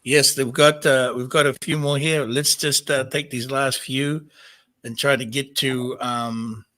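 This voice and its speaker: Gentle man moderating, dry sound. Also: mid-range voice, level tone, unhurried, no echo. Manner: warm, gentle, filler-heavy. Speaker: host. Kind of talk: moderating a group call.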